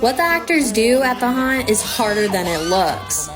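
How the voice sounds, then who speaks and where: A woman's voice: a little high, sing-song, normal speed. A woman reporter giving first-person scripted video narration. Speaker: newspaper reporter. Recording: voice-over with music bed. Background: music.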